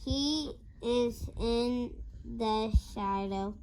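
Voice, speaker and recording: A young girl reading a picture book aloud in a halting, word-by-word way. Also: a little high, a little mellow, a little hiss or hum, rough recording. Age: child. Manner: halting, word-by-word early-reader delivery. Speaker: young reader.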